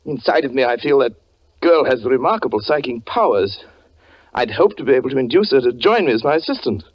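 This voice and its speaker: An actor playing a suave gentleman occultist with menacing charm, courtly and over-polite in 1940s radio drama style. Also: medium pitch, expressive, brisk, natural voice. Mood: courteous, smooth. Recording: muffled 1940s radio recording with music.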